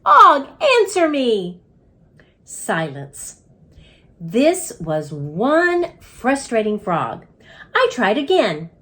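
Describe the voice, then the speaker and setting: Expressive woman reading a storybook, slowly. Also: high-pitched. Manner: dramatic, emphatic storytelling. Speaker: reading coach reading aloud. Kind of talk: expressive children's storybook read-aloud.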